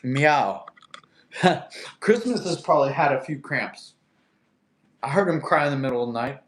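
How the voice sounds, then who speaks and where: A man's voice: medium pitch, lively intonation, a little slow, a bit bright. Laid-back man in an echoey room. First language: Russian. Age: 30s. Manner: laid-back, chatty, laughs often, talks to his cat. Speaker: livestream host. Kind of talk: casual live chat reading comments.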